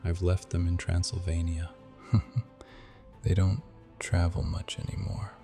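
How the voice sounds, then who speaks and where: An actor playing an ancient, amused vampire prince who speaks with courtly charm in an ASMR audio drama. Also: male voice, low voice, little pitch movement, measured pace, vocal fry, big-room sound, over music.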